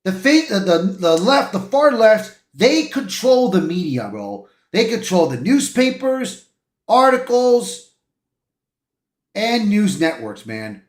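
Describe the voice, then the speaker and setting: A man's voice: high-pitched, very animated, slow pace, roomy sound. A man hosting a livestream, reading articles aloud with casual, opinionated commentary and the odd stumble over words. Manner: informal, opinionated, punctuated with "bro", "man" and "dude". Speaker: livestream host.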